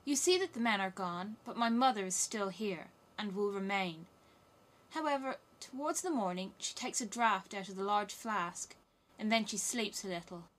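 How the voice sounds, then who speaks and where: A woman's voice: mid-range voice, expressive, normal speed, a bit bright, natural voice. A woman voicing a wild, teasing little robber girl in a storybook, bold and commanding. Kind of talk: storybook character voice.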